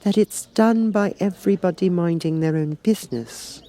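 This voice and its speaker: A man's voice: high-pitched, moderate pace, warm tone, voice pushed up, close to an everyday voice. A man voicing a polite, curious young girl for an audiobook, in an earnest, musing, slightly hesitant manner. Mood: cautious, polite. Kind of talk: audiobook character voice.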